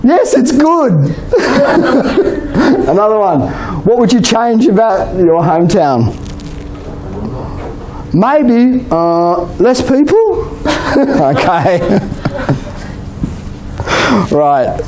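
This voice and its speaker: A man with an Australian accent giving a relaxed exam-prep lecture to a class, often checking in with "okay?" and "you know?". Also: high voice, extremely slow, pauses often, low-quality recording. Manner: chatty, many "okay?" and "you know?" tags, laughs often. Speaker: IELTS teacher.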